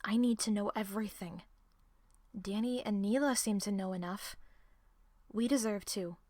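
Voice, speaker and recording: A woman playing a distressed, guilt-ridden young woman in an audio drama, speaking haltingly and emotionally. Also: medium pitch, warm tone, dry recording, close to an everyday voice. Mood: quiet, earnest, guilty. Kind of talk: audio roleplay drama acting.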